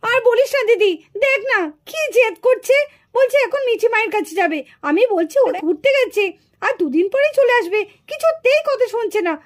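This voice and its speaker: A woman's voice: very high voice, lively intonation, brisk. A woman voicing an exasperated, scolding village mother in a children's puppet cartoon, complaining in a fretful way.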